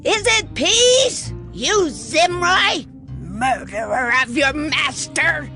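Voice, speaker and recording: Slow, shrill mocking queen. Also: sing-song, bright, forceful tone, faint music behind, exaggerated voice. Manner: drawn-out, mocking. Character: defiant, taunting wicked queen.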